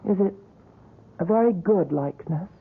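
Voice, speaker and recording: A woman's voice: lowish voice, lively intonation, vocal fry, slightly rough, exaggerated voice. An actress playing a shaken young woman visitor who speaks hesitantly in a 1970s radio drama. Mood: distressed. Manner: hesitant, halting speech. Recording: tinny lo-fi radio broadcast.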